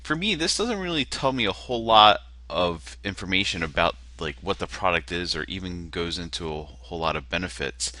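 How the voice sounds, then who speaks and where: A man's voice: low-pitched, lively intonation, brisk, hardly any pauses, sharp tone, no room sound. A man with a nasal voice, casually walking through a web page on screen, self-deprecating and hesitant with many fillers. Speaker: marketer critiquing a landing page. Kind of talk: casual screen-share walkthrough.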